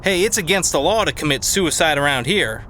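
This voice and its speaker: A woman's voice: sits low, animated, brisk, fairly bright tone, slight background noise. A woman playing a plain-spoken, folksy night watch keeper in a comedy radio drama, a little hesitant. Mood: concerned.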